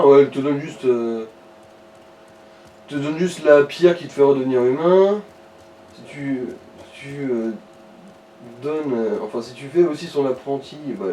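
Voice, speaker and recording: A man's voice: a little high, mellow. A man giving casual gaming commentary, explaining things with offhand crude wit, in an echoing room away from the mic. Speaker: let's play co-host. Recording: roomy echo, distant from the mic.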